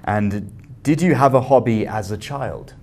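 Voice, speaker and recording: A man's voice: mid-range voice, animated, measured pace, vocal fry, darkish tone. A man in his thirties acting as examiner, asking formal scripted questions in a small office.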